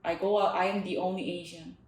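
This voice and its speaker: A woman's voice: lowish voice, fairly even intonation, fast, a little mellow, echoey room, low-quality recording. A young woman whose first language is Korean, co-hosting a casual podcast chat with many "like" fillers. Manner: chatty, frequent "like" fillers. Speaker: podcast co-host.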